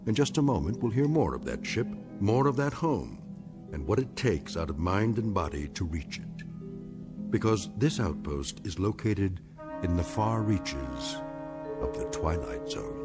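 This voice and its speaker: A grave, all-knowing narrator of eerie science fiction radio drama. His low voice is deliberate and ominous, rising and falling dramatically over prominent music. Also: very animated.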